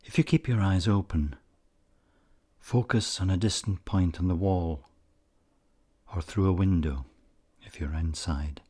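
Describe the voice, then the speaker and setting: Very deep, husky man guiding meditation slowly. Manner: calm, measured, pausing often. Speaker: meditation guide. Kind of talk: slow guided meditation narration.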